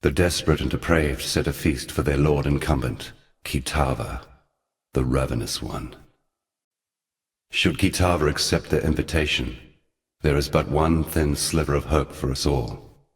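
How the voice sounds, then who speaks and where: A man playing an ancient, forgotten god in a video game, somber and weary, speaking solemnly and deliberately in archaic, portentous phrases. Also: very deep voice, in a large hall. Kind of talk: scripted video game character dialogue.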